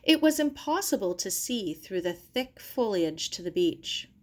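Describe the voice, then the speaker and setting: Woman narrating a story book, takes it slow, middle pitched voice. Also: sing-song. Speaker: audiobook reader.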